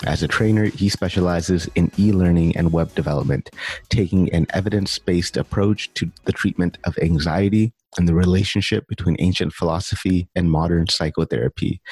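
A man's voice: low voice, a little mellow, slightly rough, dry recording. A man hosting a podcast, upbeat and enthusiastic as he introduces the show and asks questions. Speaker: podcast host.

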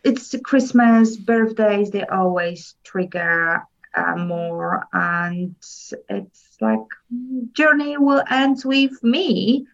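A woman from Poland with a Polish accent, a podcast guest speaking slowly and searching for her words in English. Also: medium pitch, creaky, lo-fi sound. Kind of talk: interview conversation.

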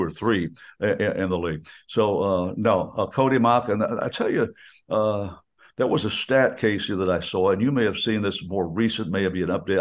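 An older man, a radio play-by-play announcer, chatting about football in a folksy, rambling way with many restarts. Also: on the low side. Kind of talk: rambling radio sports chat.

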